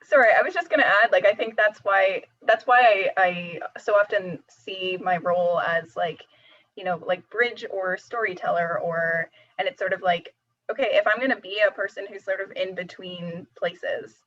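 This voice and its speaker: A woman's voice: mid-range voice, normal speed, fairly bright tone. A young woman student researcher reflecting thoughtfully over a video call, hedging and trailing off as she finds her words. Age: young adult. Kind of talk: reflective casual answer. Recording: muffled video call audio.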